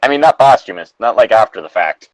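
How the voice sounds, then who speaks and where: A man with a lively, swooping pitch reads a story aloud and chats casually around it. His humor is wry and irreverent, and the sound is close and dry. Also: quick pace, dry recording, low-quality recording. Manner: wry, irreverent, crude joking. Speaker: host of a comedic fan-fiction reading. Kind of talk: casual banter and mocking story reading.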